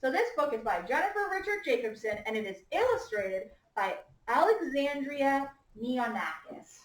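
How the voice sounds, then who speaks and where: A woman teacher reading a picture book aloud to young children, patiently prompting them with questions and gentle instructions. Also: sits high, very animated, moderate pace. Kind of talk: interactive picture-book read-aloud to a class. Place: echoey indoor room with young children. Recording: echoey room, distant microphone.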